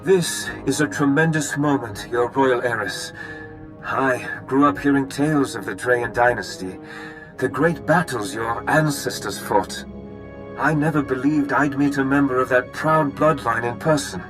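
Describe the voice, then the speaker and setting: An actor playing a formal, courteous and idealistic count in video game dialogue. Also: male voice, medium pitch, a little slow, music in the background, natural voice, poor audio. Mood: awed, gracious.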